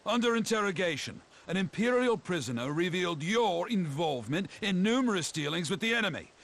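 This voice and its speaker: A man's voice: high voice, expressive, brisk, fairly bright tone, full character voice. A man playing a stern, officious soldier in a video game, declaiming charges formally.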